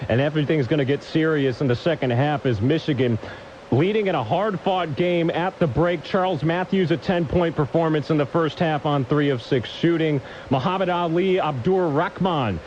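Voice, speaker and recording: Male sports broadcaster, higher-pitched, polished scripted update read with punchy phrasing, slightly muffled lo-fi radio sound. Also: darkish tone, a little hiss or hum.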